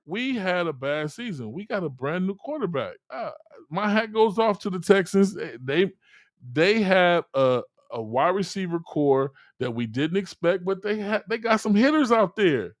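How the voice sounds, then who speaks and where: A man with a high voice argues football on a sports talk show, fired up and defensive. He stammers and repeats himself when pressed. His voice is close and dry, with no room around it. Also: lively intonation, moderate pace, no room sound. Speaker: co-host. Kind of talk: heated sports debate.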